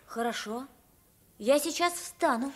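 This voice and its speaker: A woman's voice: high voice, animated, unhurried. A woman playing a frightened, bewildered poor boy in a theatrical radio play. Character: frightened, bewildered pauper boy mistaken for the king.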